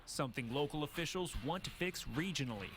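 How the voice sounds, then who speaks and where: Male field reporter, American, higher voice with animated swings, scripted news delivery. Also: quick pace, very noisy.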